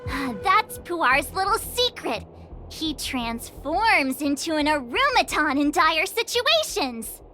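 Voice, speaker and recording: Very high, lively, chirpy young girl, self-assured, video game character, clear music behind. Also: slow, exaggerated voice. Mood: playful storytelling. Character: perky young girl improvising and narrating a playful tale. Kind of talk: animated video game voice acting.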